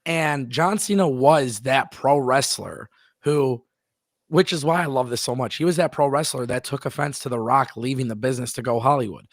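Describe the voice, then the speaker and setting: A man hosts a live wrestling talk show with a gravelly edge to his voice. He talks emphatically and stops now and then to read out viewer messages. The sound is dry and close to the mic. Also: medium pitch, normal speed, no room sound. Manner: emphatic, repeats words, reads viewer messages aloud. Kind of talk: casual live-stream chat.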